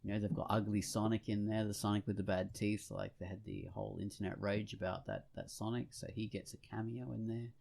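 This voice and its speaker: A man's voice: fairly low pitch, little pitch movement, brisk, creaky, hardly any pauses, a little mellow. A man co-hosting a podcast in casual, rambling chat, opinionated and blunt, with casual swearing. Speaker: podcast co-host.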